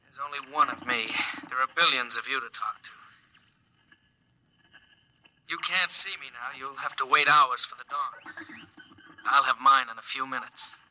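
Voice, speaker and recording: A man's voice: medium pitch, normal speed, sharp tone, slight background noise, rough recording. An actor playing a lonely, brave male astronaut stranded in orbit, talking wistfully in a 1950s radio drama. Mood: lonely, earnest. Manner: wistful, talkative monologue.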